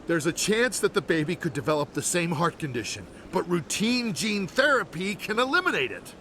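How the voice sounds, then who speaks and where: An actor playing an exasperated, urgent brother-in-law in a video game drama, insistent and pleading. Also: male voice, high-pitched, big pitch swings, moderate pace, pushed and bright, a little hiss or hum, exaggerated voice.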